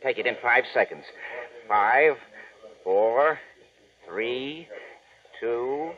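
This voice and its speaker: Wisecracking studio technician, sardonic and offhand, animated mid-range voice, dull 1940s radio sound. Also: male voice, mid-range voice, drawn-out words. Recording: dull vintage radio recording.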